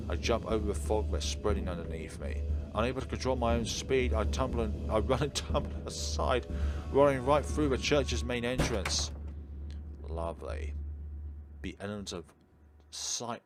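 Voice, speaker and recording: A man reading a visual novel aloud as a let's-play host, theatrical and drawn-out, with dry ad-libbed asides. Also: lowish voice. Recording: prominent game music behind the voice. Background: video game music.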